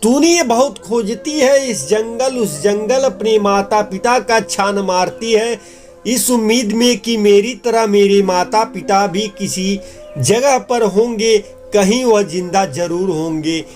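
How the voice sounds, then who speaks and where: A man's voice: high-pitched, takes it slowly, a little mellow, soft background music. A man narrating a children's cartoon moral story in an earnest, explanatory way.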